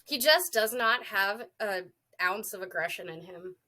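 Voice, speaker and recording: A woman in her thirties co-hosting a casual podcast chat, a little congested from a cold, blunt and quick to swear. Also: medium pitch, animated, sharp tone. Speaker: podcast co-host.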